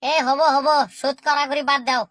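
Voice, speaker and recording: A woman's voice: high voice, flat delivery, fairly quick, fairly bright tone, dry recording, studio quality. A woman voicing a lazy, cheeky schoolboy in a comedy cartoon, whining and talking back. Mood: cheeky, evasive. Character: cheeky, lazy schoolboy shirking his studies. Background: music.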